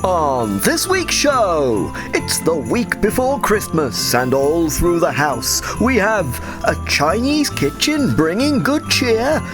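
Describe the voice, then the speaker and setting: An announcer reading a scripted show intro in a theatrical, drawn-out way. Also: medium pitch, very animated. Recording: voice over jingly music.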